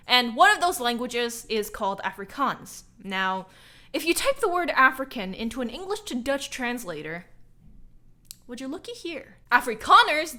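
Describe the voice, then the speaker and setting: A woman's voice: fairly high pitch, pushed and bright. A young woman born in Korea and raised in Hawaii, narrating a scripted video essay with sarcastic, profane asides.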